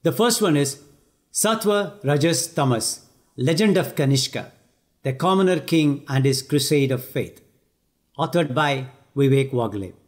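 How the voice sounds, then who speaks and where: A man with Tamil as his first language, a voice actor, introducing and narrating an audiobook passage in a clear, measured way. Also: medium pitch, expressive.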